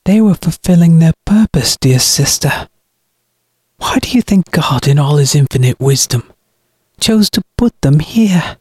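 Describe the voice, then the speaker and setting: A man's voice: high voice, expressive, slow pace, darkish tone, husky, no echo, studio quality, pitched above the performer's own voice, heavily acted. A man voicing a cold, mocking, murderous brother who speaks with grandiose piety in an audiobook. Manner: grandiose, sanctimonious, taunting.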